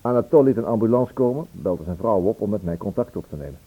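A man acting in a Dutch radio play as a brisk, blunt police inspector with a conversational delivery. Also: fairly low pitch, lively intonation, slightly rough. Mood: matter-of-fact. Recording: thin narrow-band old radio recording.

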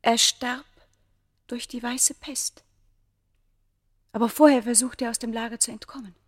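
A woman acting a governor's noble daughter of ancient Egypt, passionately in love, with formal, breathy, poetic delivery in a German radio play. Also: fairly high pitch, steady tone, measured pace. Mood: anxious, sorrowful.

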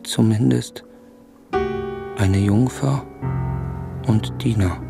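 An actor playing an earnest, dreamy young gentleman in a radio drama, speaking in an introspective, literary manner. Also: on the low side, drawn-out words, gravelly, heavily acted. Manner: introspective, literary inner monologue. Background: instrumental music.

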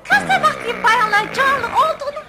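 A woman's voice: very high voice, lively intonation, moderate pace, over loud music, a loud crowd. A woman playing a showman's doting, boastful wife in a radio play, coaxing and gushing.

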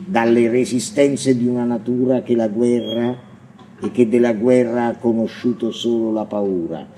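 A man's voice: medium pitch, steady tone, moderate pace, mellow, natural voice. On a noisy radio recording, a man acts out a visionary madman poet with a mid-range voice. He is intense and defiant, declaiming his monologue like a ranting prophet. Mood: ranting, prophetic. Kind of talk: theatrical dramatic monologue.

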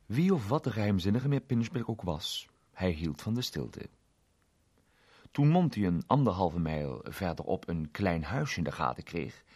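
A man narrating a detective story in a measured, expressive reading. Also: low voice, husky.

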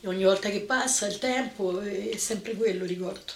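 A woman's voice: medium pitch, normal speed, gravelly, echoey room, slight background noise. An older woman with a Roman accent giving spontaneous personal testimony as a witness.

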